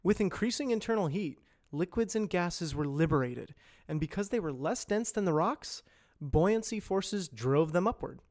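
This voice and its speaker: A man reading scripted educational and corporate narration in a measured, clearly enunciated explainer delivery, as an amateur voice actor. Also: high-pitched, animated, a little mellow.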